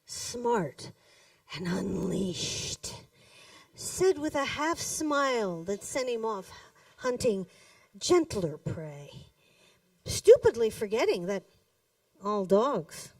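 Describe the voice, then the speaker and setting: A woman reading her own poems live in a cafe, with deliberate, dramatic phrasing, pauses and emphatic stresses. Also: mid-range voice, sing-song, a little mellow. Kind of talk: live poetry reading to an audience. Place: indoors in a cafe. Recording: roomy echo, distant microphone.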